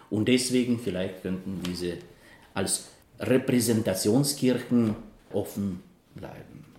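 A man in his fifties from Ukraine, a priest, speaking German with a Ukrainian accent in halting interview answers with frequent fillers. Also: lowish voice, darkish tone. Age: 50s. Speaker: Salesian priest. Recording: roomy echo.

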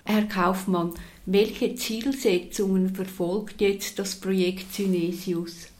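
A woman host giving a formal scripted introduction and then asking a guest questions in a measured way. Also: medium pitch, steady tone, a little mellow. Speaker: interviewer, host.

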